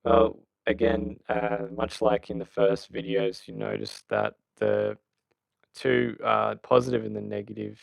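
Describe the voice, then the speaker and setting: A man giving an unscripted technical tutorial, halting as he thinks aloud. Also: fairly low pitch, gravelly, no room sound, rough recording. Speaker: audio tutorial presenter.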